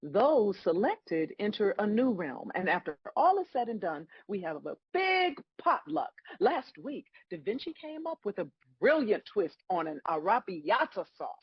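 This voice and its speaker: A woman playing God as a wry, patient, bemused celestial judge, explaining things in a measured way at a table read of a comedy. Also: mid-range voice, very animated, husky, no echo, lo-fi sound.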